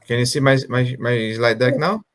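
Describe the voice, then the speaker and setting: A man's voice: mid-range voice, moderate pace. Man presenting slides on a call. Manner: hesitant, filler-heavy explaining. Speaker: guest speaker. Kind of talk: online conference presentation.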